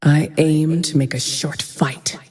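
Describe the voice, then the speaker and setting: Grim female avenger archer for a fantasy game: slow, dark-toned, merciless and composed, in long reverb. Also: sits low, fairly even intonation. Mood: cold resolve. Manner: cold, brooding, measured, often dropping to a breathy whisper. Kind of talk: dramatic video game voice lines.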